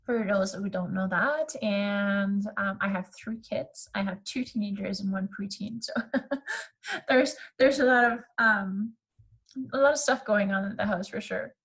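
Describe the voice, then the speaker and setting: A woman's voice: mid-range voice, unhurried, a bit bright, husky, low-quality recording. A woman in her thirties from Canada who speaks English with a French accent. French is her first language. She chats casually as a podcast guest, using lots of fillers and laughing easily. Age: 30s. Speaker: podcast guest, graphic designer. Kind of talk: casual interview chat.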